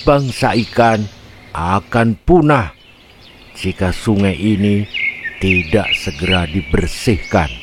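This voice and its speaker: A man voicing a bold, indignant fish who loudly admonishes others in a children's fable. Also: lowish voice, takes it slowly, long pauses, background noise. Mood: urgent warning. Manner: loud, shouting, admonishing.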